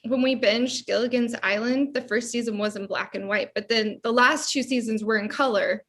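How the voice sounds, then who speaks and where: A woman playing a weary, wry pregnant young sister in a sitcom table read, with a husky voice and a dry, exasperated manner. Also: on the high side, steady tone, moderate pace, a bit bright, a little hiss or hum.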